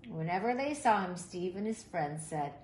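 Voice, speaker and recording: A woman reading a children's picture book aloud in an expressive, animated way, with deliberate emphasis. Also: lowish voice. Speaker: storybook reader. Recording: roomy echo, faint background noise.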